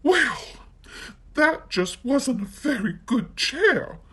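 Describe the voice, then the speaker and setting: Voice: sits high, big pitch swings, slow pace, fairly bright tone, breathy edge, pitched above the performer's own voice, full character voice. A storyteller voicing a fussy little girl in a storybook, who grumbles and complains. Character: golden-haired young girl, cross and fussy.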